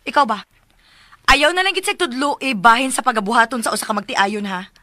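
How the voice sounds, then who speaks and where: Exasperated young cebuano wife, radio drama, sharp bright voice, bit high, scolding. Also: female voice, animated, brisk, no echo, studio quality.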